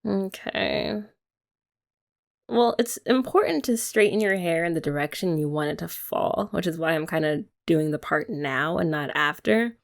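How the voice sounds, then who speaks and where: A young woman in an ASMR girlfriend roleplay, talking softly and teasingly to the listener. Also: lowish voice, animated, a little slow, creaky. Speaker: ASMR creator.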